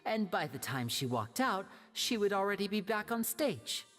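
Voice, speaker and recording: A woman voicing a confident, suave young male stage magician in a video game, explaining in a smooth theatrical way. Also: medium pitch, very animated, moderate pace, fairly bright tone. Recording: reverberant hall with music behind.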